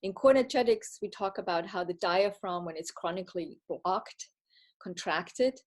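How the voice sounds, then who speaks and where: A woman's voice: on the low side, moderate pace. Woman telling her story in English. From Bavaria, Germany. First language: Bavarian German. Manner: earnest, reflective, with frequent restarts and giggles. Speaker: retreat participant giving a testimonial. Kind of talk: casual personal storytelling.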